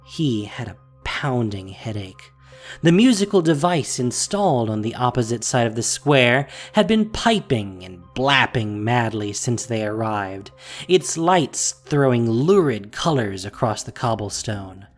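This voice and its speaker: A woman narrating a fantasy audio drama in an expressive, scripted storytelling style. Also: extremely low pitch, measured pace, faint music behind.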